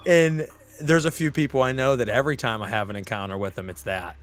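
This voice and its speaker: A man's voice: mid-range voice, fast. A man hosting a podcast in a casual chat, telling stories, swearing freely and acting out his own reactions. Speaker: podcast host.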